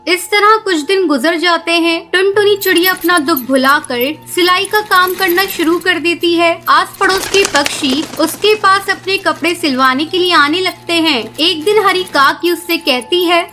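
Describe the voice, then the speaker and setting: A woman's voice: very high voice, moderate pace, hardly any pauses, pushed and bright. A woman narrating a scripted children's story as a cartoon narrator.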